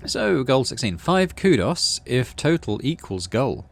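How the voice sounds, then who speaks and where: A man's voice: medium pitch, big pitch swings, measured pace, vocal fry. A man casually hosting a game playthrough, reading narration aloud and muttering his thinking as he plays. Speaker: gaming channel host.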